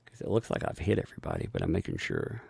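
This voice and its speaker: A man hosting a casual live stream, thanking viewers for donations and rambling with frequent restarts and chuckles; he has a gravelly voice. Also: deep voice, wildly swooping pitch, quick pace, darkish tone, dry recording.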